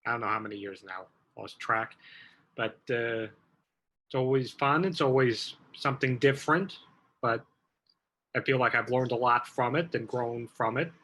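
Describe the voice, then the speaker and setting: A man's voice: medium pitch, normal speed, bright, forceful tone. A man from New York on a video call, easygoing and vague about how long he has been in the class. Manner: easygoing, loses track, uneven pace. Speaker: acting student guest. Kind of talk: casual video call introduction.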